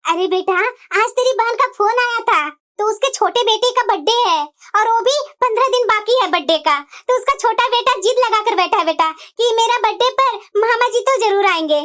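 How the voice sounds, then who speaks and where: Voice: very high voice, a little fast, bright, forceful tone. A performer voicing a doting, scheming middle-aged mother-in-law in a dramatic family cartoon, drawing out the coddling and complaints. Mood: doting, coaxing. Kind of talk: Hindi family moral-story cartoon voice acting.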